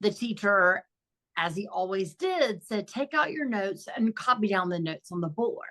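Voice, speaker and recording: A woman guest on a podcast interview over a video call, speaking in a warm, reflective way about her own life. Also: mid-range voice, big pitch swings, unhurried. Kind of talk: personal storytelling in interview. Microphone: video call recording.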